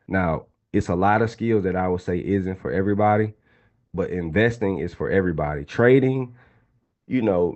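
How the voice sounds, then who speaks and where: Deep dark-voiced guy in his 20s hyping up a live trading class, keeps talking to the chat, kinda dull lo-fi audio. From Atlanta, Georgia. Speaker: trading instructor.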